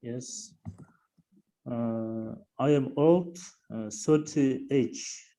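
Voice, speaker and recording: A man's voice: mid-range voice, creaky, warm tone, rough recording. A man in his thirties with a Kyrgyz accent, a beginner student of English who speaks hesitantly. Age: 30s. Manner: hesitant, halting.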